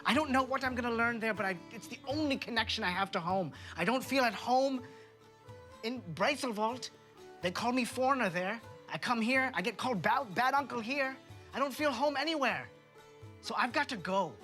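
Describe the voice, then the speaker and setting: A woman's voice: a little high, normal speed, fairly bright tone, soft background music. A woman player at a tabletop game giving an earnest in-character speech, laughing in between lines.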